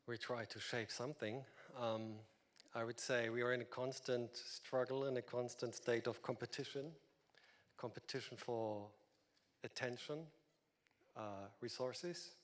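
A man's voice: medium pitch, fairly even intonation, a little slow. A middle-aged man, a government official, speaking matter-of-factly on a conference panel into a handheld mic. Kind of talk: panel discussion.

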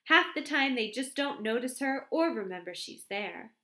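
A woman's voice: sits high, expressive, moderate pace, pushed and bright, voice pushed up. A woman voicing a chatty, arch young secretary who gushes and gossips in an audiobook reading. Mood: gossipy. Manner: chatty, breathless, exclamatory. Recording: roomy echo.

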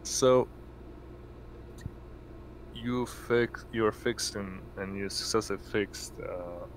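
Man, deep pitch, halting game-master narration, unhurried, noisy background hum. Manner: halting, pausing mid-sentence. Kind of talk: improvised tabletop game narration. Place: indoors, online voice call. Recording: noisy home mic, steady hum.

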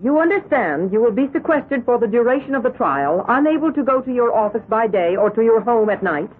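An actress playing a formal American prosecutor in a radio drama, putting precise questions. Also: female voice, a little high, expressive, a little fast, husky. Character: formal, brisk female prosecutor questioning in court. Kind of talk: 1970s radio drama acting. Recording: muffled old radio broadcast.

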